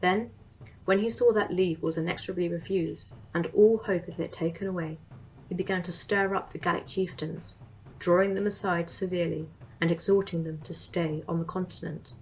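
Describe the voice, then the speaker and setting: A young woman giving a formal, steady dramatic reading of an ancient historical account. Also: on the low side, moderate pace, low-quality recording. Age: young adult. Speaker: student reader.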